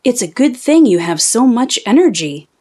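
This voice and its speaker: Woman reading as a curious, animated storybook companion. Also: a little high, normal speed. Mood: curious, teasing. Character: inquisitive, chatty son and companion of a traveler.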